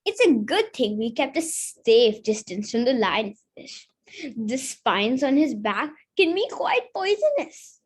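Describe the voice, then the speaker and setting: High, lively female storybook reader. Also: slow, slightly rough. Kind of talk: read-aloud of a children's picture book.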